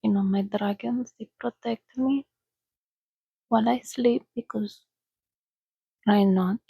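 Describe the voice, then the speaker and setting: A woman speaking in a hushed, soothing, often whispered ASMR style, as if calming a companion. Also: a little high, slow, gravelly, breathy edge, no room sound, lo-fi sound. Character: calm, sociable female vampire soothing a companion. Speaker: ASMR host. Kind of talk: soft-spoken ASMR reading and roleplay.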